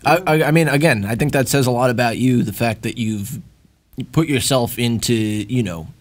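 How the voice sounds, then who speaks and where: A man's voice: medium pitch, moderate pace. A young man from New York interviewing a guest in casual chat, hesitant with frequent restarts. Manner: hesitant, many fillers and restarts, laughs easily.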